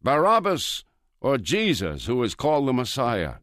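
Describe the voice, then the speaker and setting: A man's voice: medium pitch, huge pitch swings, normal speed, a bit bright. A man playing an exasperated Roman governor. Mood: demanding. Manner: questioning, insistent. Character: exasperated Roman governor, male, middle-aged. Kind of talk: dramatized scripture reading.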